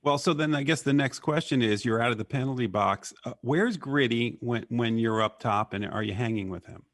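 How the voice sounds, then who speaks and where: A man's voice: medium pitch, a little fast, no echo. A man co-hosting a casual sports interview, asking chatty questions. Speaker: interview co-host.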